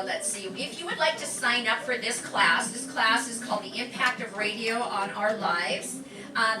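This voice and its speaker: Woman class instructor, upbeat and chatty, on the high side, caught from far off with music behind. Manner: chatty and upbeat, with many fillers. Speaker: class instructor welcoming an audience. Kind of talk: live stage welcome and announcements. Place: community center performance room. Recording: echoey room from far off.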